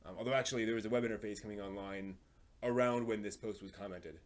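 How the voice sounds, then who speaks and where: A man's voice: fairly low pitch, quick pace, slight background noise. A man hosting a livestream, talking casually with a hesitant, rambling way of explaining things. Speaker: livestream host.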